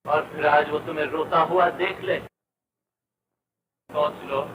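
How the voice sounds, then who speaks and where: A man's voice: a little high, steady tone, a little slow, muffled recording, natural voice. An actor playing an intense, tormented, devoted man in old Hindi film melodrama, speaking with theatrical emphasis and repetition. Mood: anguished, probing. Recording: echoey, distant, noisy old film soundtrack.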